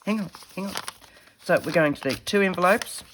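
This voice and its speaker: A woman, slightly croaky from a head cold, chatting casually as she demonstrates a paper craft while working with her hands. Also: on the low side, brisk, fairly bright tone, a little hiss or hum, poor audio. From Australia. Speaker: craft tutorial host.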